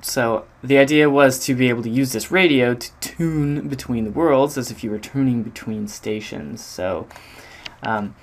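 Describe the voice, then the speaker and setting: A man's voice: medium pitch, normal speed. A man giving an informal walkthrough of a demo, speaking hesitantly as if thinking aloud. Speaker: game audio designer presenting own project.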